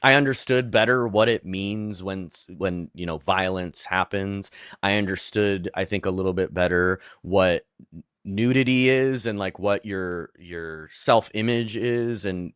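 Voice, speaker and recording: A man's voice: low-pitched, a little slow, a bit bright, clear, resonant tone, dry recording, studio quality. Rambling man, Polish first language. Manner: rambling, many restarts and fillers. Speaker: podcast co-host. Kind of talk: casual chat about movies.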